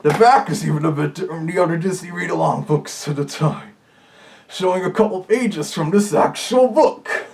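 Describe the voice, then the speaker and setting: A man's voice: on the high side, normal speed, vocal fry, a little mellow. A man putting on the voice of a male Big Bad Wolf, chatting casually as a reviewer with fannish asides. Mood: chatty.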